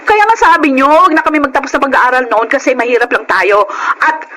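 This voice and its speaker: An actress playing a blunt, reproachful adult daughter in a Filipino television melodrama. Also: female voice, high voice, fast talker, fairly bright tone, a little hiss or hum. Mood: angry, accusing. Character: resentful, accusing adult daughter with a sickly father.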